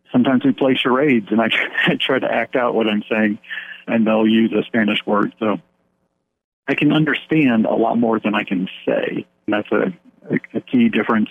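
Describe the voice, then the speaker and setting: Man, pastor from the United States, earnest and rambling, phone interview, slightly husky, thin phone-line sound. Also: on the low side. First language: English. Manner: earnest, rambling with fillers and restarts. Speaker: pastor of an English-speaking church abroad.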